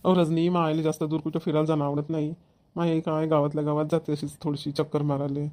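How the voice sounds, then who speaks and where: Playful village husband, played by a man, rapid delivery, slightly high voice, rural Maharashtrian accent, cartoon. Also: flat delivery, warm tone, close to an everyday voice. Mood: playful, coaxing. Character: playful, fast-talking village husband, easygoing family man. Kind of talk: cartoon family dialogue.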